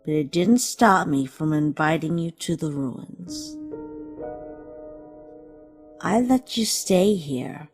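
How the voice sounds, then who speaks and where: A woman voicing a motherly, protective and stern guardian monster in an animated comic dub, speaking in a measured, formal way. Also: on the low side. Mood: disappointed, stern. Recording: roomy, long reverb, music behind. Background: music.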